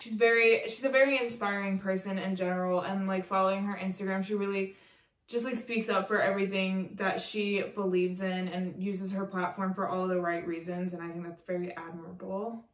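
A young woman from Mexico chatting casually about plants in a bedroom, filmed by a camera across the room. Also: medium pitch. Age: young adult. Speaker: plant vlogger. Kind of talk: casual chat. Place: bedroom, seated on bed. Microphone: far camera. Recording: roomy echo, distant from mic.

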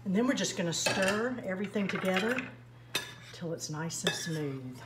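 A woman's voice: fairly low pitch, expressive, normal speed. An older woman shows how to make a cake in her home kitchen. She explains each step in a homey, instructive way. Her voice bounces off the kitchen walls, with faint clatter of bowls and pans behind her. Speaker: cooking segment host. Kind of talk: home cooking demonstration. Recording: echoey kitchen with faint noise. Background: clinking pots, bowls and utensils.

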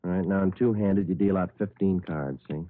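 Man playing a terse detective, deep voice, flat matter-of-fact delivery, vintage radio drama, dull lo-fi recording. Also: fairly quick, a little hiss or hum, natural voice. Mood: casual, deliberate. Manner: deadpan, clipped, matter-of-fact. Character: terse, unflappable male police detective, narrating his case. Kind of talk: 1950s radio crime drama, clipped narration. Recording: muffled old radio recording.

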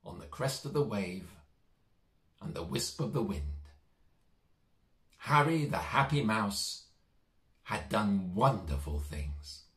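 A middle-aged man reading and talking to children in a gentle, reassuring, expressive way. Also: lowish voice, takes it slowly, pauses often. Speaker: storyteller. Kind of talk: children's story reading, direct address to young listeners. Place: small child's bedroom, indoors. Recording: echoey domestic room.

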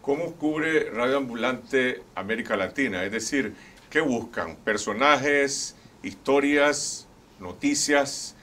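A man's voice: medium pitch, slow, bright, forceful tone. A middle-aged man hosting a TV interview in a studio, putting formal, probing questions to his guests. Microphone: lapel.

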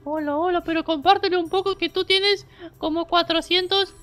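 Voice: very high voice, fairly even intonation, normal speed, sharp tone, soft background music. A performer voicing a silly, mischievous young child sidekick in a children's game roleplay, playfully repeating words.

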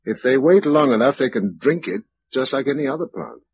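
An actor playing a thoughtful, level-headed survivor in a British radio drama, speaking in a clipped, understated way with a British accent. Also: male voice, medium pitch, lively intonation, fairly quick, slight background noise, natural voice. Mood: grave. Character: terse, thoughtful, level-headed male survivor narrator-hero. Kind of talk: mid-century British radio drama acting. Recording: dull, lo-fi old radio recording.

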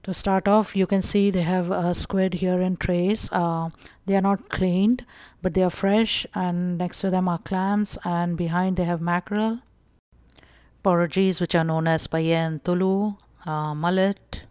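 A woman from India narrating a casual shopping walkthrough vlog, calm and explanatory with frequent pauses and fillers. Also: on the low side, dry recording. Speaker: vlogger.

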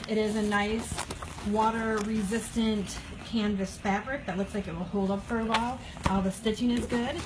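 A woman giving a casual, matter-of-fact product review in her kitchen, talking off camera into her phone with frequent fillers. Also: mid-range voice. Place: home kitchen, indoors. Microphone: phone camera held by the speaker, off-screen. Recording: phone video with audible background noise.

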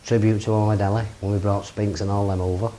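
Promoter bloke, low dark voice, bit of hiss, chatting in his office on a lapel mic. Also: little pitch movement, quick pace. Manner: plain-spoken, rambling lists. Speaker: boxing promoter. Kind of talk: seated interview. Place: office with framed memorabilia.

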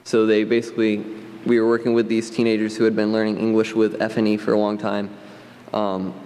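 A man's voice: lowish voice, a little mellow. A teenage boy giving a testimony at a church lectern, talking in an earnest, hesitant way with many ums. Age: high school age, late teens. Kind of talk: unscripted trip report to a congregation. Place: large stone church sanctuary. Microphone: standing at a lectern microphone. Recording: long church reverb, faint room noise.

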